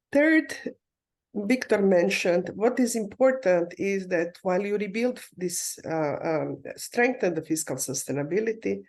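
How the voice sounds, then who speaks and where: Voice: medium pitch, darkish tone. Remote panelist, hesitant. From Croatia. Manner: measured, with frequent hesitant fillers and restarts. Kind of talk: conference panel remarks on economic policy. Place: remote, not in the conference room. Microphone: video call.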